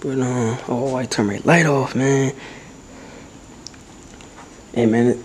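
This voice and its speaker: A young man vlogging with a handheld camera as he explores a dark abandoned building at night, talking in loose slang and dropping to whispers. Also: mid-range voice, takes it slowly. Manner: slangy, excitable, often hushed to a whisper. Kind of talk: casual handheld vlog. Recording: noisy camera audio.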